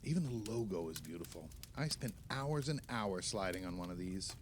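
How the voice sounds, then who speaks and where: An actor playing a jovial, enthusiastic husband hosting a holiday party in an audio play, hearty and exclamatory. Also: male voice, low voice, expressive, normal speed, noisy background. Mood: delighted.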